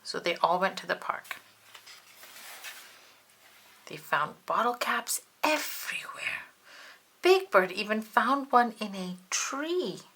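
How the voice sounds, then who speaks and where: Woman, storybook narrator, children's read-aloud, bright tone, very animated pitch, expressive delivery. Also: measured pace, husky. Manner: animated, acts out character dialogue.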